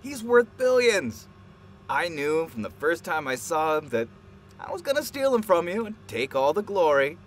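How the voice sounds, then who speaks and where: An actor as a volatile, greedy villainous scientist, high-pitched. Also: male voice, big pitch swings, normal speed, slight background noise. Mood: menacing, scornful. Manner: volatile, sneering, swings from menace to shouting. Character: greedy, threatening male scientist turned desperate villain. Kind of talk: audio drama acting.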